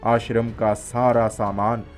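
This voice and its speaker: Low, unhurried magical talking snack voiced by a man. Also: flat delivery. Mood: recounting. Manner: commanding, instructive. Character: talking magical pakora, a helpful male guiding spirit. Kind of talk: children's animated storytelling. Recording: music playing behind the voice.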